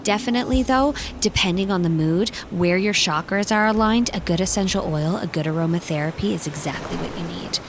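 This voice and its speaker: A woman's voice: on the low side, fairly quick, few pauses, a little hiss or hum, lightly acted. A woman playing an earnest, nurturing hippie mom herbalist in a comedic audio drama, gushing and soothing. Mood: earnest, dreamy. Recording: music behind the voice.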